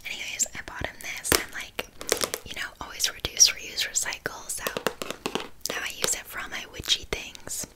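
A woman playing a flaky, passive-aggressive witch in an ASMR roleplay, speaking in a soft, breathy whisper with casual, deadpan patter. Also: natural voice, poor audio.

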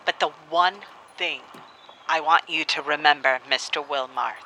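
A woman playing a solemn, proud keeper of old traditions in a radio drama, speaking in a measured, deliberate way. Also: deep voice, bright, forceful tone. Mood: grave. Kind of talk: radio drama acting, storytelling interview. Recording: faintly noisy old cylinder recording.

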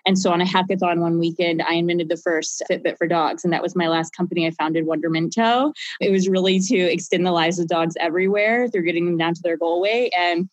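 A woman's voice: lowish voice, fairly even intonation, brisk, few pauses, dry recording, dull audio. A woman in her thirties, a company co-founder telling her backstory in a rambling, laughing interview style. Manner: laughs often, rambling storyteller. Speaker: co-founder and guest. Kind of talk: casual interview answer.

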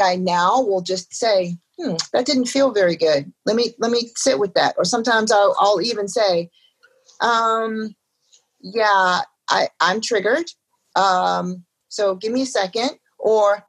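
A woman's voice: medium pitch, a little slow, slightly rough, rough recording. A woman in a casual chat, speaking openly and candidly about her feelings.

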